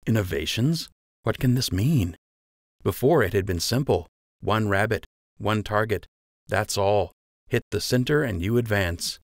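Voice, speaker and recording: Ambitious young rabbit archer read by a man, earnest storybook inner monologue, slightly low, close dry sound. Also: lively intonation, a little slow, dry recording. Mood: puzzled, uneasy. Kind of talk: audiobook character voice.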